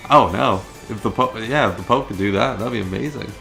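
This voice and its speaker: A man with a gravelly, creaky voice talks over prominent video game music. He gives casual commentary as a co-host and riffs along in an easygoing, joking way. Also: on the low side, a little fast. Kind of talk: casual gameplay commentary banter. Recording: loud game music behind the voice.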